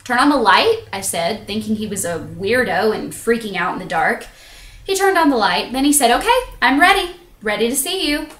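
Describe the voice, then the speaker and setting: A woman performing a theatrical monologue as a self-conscious, candid woman confessing intimate things, with dramatic pauses and drawn-out emphasis. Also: expressive, a bit bright, slight background noise. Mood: flustered. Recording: echoey room on low-quality webcam.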